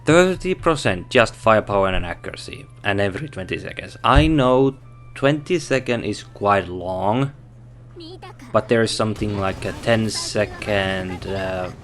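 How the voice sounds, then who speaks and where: Slow, hesitant man. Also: lowish voice. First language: Finnish. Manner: hesitant, thinking aloud, correcting himself. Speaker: game reviewer. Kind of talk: unscripted game review.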